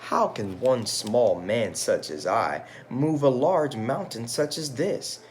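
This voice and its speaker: A man's voice: medium pitch, animated, slow pace, a little mellow, slight background noise. A man voicing a mild, doubtful husband in a picture-book folktale. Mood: mild, puzzled.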